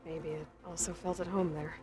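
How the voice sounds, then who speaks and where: A woman's voice: fairly low pitch, little pitch movement, moderate pace, lightly acted. A woman voicing a determined young huntress. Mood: thoughtful. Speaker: game protagonist.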